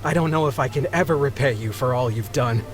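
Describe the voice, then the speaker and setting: A man's voice: medium pitch, quick pace, natural voice. An actor playing an embarrassed, grateful married sea captain in a video game, flustered and apologetic as he talks. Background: background music.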